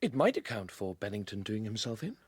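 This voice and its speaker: A man's voice: on the low side, very animated, a little fast, slightly rough, dry recording. An actor playing a matter-of-fact policeman in a radio detective drama, speaking in a plain, speculative way. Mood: musing, matter-of-fact.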